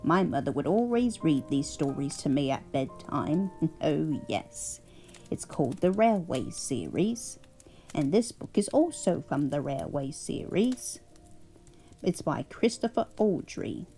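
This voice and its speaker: A woman voicing a kindly elderly English grandmother who tells a bedtime story in a prim, sing-song way with soft chuckles. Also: deep voice, very animated, slow, faint music behind, full character voice. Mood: doting. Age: older.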